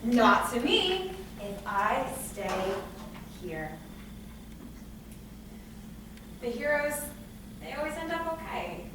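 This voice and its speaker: A woman's voice: on the high side, big pitch swings, slow pace. An actress playing an anxious, stubborn young woman in a staged play reading, emphatic and rambling as she frets about catastrophe. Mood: fearful, insistent. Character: anxious young woman, dramatic, stubborn, afraid to leave her room. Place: live staged reading in a reverberant room. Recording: echoey room from far off, noisy.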